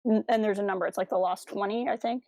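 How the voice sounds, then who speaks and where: A woman's voice: mid-range voice, fairly even intonation, quick pace, darkish tone, no room sound. A woman co-hosting a podcast, talking casually in an earnest, reflective and analytical way. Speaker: podcast co-host.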